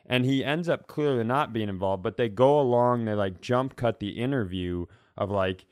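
A man's voice: medium pitch, moderate pace, darkish tone, smooth, dry recording. A man with a mid-range voice talks casually as a comedy podcast co-host. He rambles through his stories in a self-mocking, sweary way. The sound is close and dry, with hardly any room around it.

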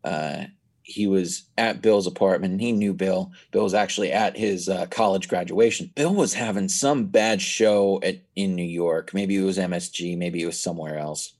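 A man's voice: low-pitched, normal speed, darkish tone. A nineteen-year-old man chatting as a podcast guest, telling stories in a rambling way with many restarts. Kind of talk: casual chat.

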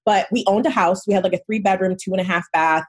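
A young adult woman from Michigan, born in Nigeria, talking casually as a podcast guest, animated and quick to swear and laugh. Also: mid-range voice, slightly rough, no echo. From born in Nigeria, grew up in Michigan, USA. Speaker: guest, mindset coach.

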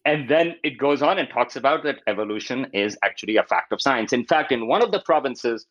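A man's voice: mid-range voice, expressive, brisk, fairly bright tone, muffled recording. A man in his fifties with a Pakistani accent, an academic explaining research in an interview and often acting out quotes as he goes. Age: 50s.

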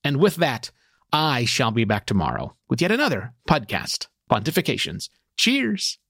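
A middle-aged man hosting a podcast in a casual, slightly halting, appreciative monologue. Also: medium pitch, huge pitch swings, gravelly. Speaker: podcast host. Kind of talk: casual monologue to a live audience.

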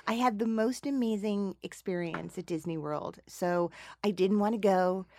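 A middle-aged woman hosting a podcast, telling stories in a chatty, animated way and laughing often, in a studio booth. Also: medium pitch, moderate pace, no room sound. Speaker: podcast host. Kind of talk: casual chat, anecdote. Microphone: studio microphone.

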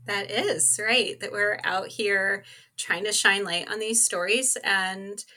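Bright-voiced woman, earnest podcast guest. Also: medium pitch, steady tone, slow pace. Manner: earnest, informal, frequent "like" and "you know". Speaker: podcast co-host being interviewed as guest. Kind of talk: conversational interview talk.

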